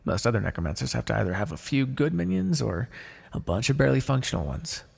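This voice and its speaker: A man's voice: mid-range voice, very animated, fast, gravelly, breathy edge, long reverb tail, heavily acted. A man playing a pragmatic, chatty necromancer in a casual, wry ASMR fantasy roleplay. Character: pragmatic, chatty male necromancer, matter-of-fact and ambitious. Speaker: roleplay voice actor. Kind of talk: ASMR fantasy roleplay, one-sided dialogue.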